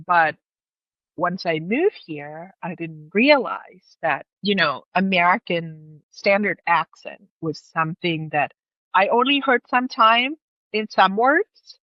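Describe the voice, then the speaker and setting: A woman's voice: fairly low pitch, lively intonation, takes it slowly, no room sound, muffled recording. A woman from Thailand with a Thai accent, telling personal stories casually as an interview guest in her second language.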